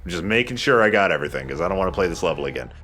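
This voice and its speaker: A man's voice: low voice, expressive, racing, pushed and bright. A man hosting a let's play, explaining the game casually with playful, jokey slang. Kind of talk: casual gameplay commentary and walkthrough.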